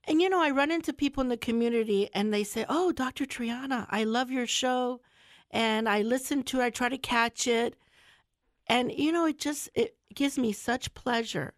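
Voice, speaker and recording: A woman's voice: a little high, normal speed, dry recording, studio quality. A middle-aged woman, a family physician hosting a radio health show, talking casually while stuffy from a cold and repeating words for emphasis. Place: radio studio.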